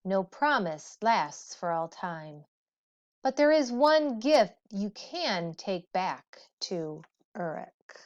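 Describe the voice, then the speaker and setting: A woman's voice: medium pitch, big pitch swings, slow. Woman reading as a solemn, wise immortal elder. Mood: solemn, instructive. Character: calm, wise immortal old man of legend. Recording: dull, muffled lo-fi audio.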